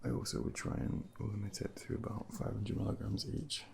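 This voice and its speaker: Soft-spoken, very creaky ASMR man. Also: fairly low pitch. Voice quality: breathy soft voice slipping into whispers. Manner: gentle, reassuring, unhurried asides. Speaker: ASMR performer playing a junior doctor. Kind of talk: ASMR medical role play, soft-spoken and partly whispered. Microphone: close binaural microphone. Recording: clearly noisy close binaural recording, ambient background.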